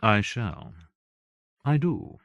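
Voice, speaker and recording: A man voicing a lonely, bitter wealthy lady who speaks coolly and measured. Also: medium pitch, expressive, no room sound, dull audio, close to an everyday voice. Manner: cool, clipped and measured.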